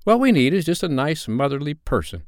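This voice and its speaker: Storybook boy leader played by a man, bossy, self-important, commanding, raised pitch, animated delivery. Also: a little fast, dry recording, clean studio sound. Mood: self-assured. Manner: commanding, pompous.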